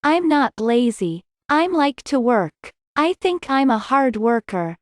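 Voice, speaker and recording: A woman reading scripted English lesson dialogue, slowly and clearly, in carefully spaced sentences. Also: sits high, very animated, no room sound, studio quality.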